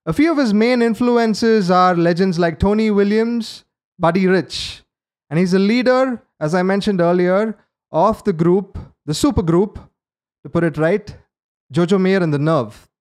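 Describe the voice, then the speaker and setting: A man with a notably high voice hosts an online interview. He speaks formally, reading a prepared introduction and posing long, careful questions over a video call. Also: measured pace, clear, resonant tone. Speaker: interview host.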